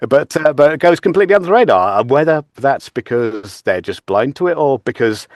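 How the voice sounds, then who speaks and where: Male podcast guest, animated intonation, dry sound, wry and hesitant, conversational. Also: mid-range voice, fairly quick, no echo. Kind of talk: casual chat.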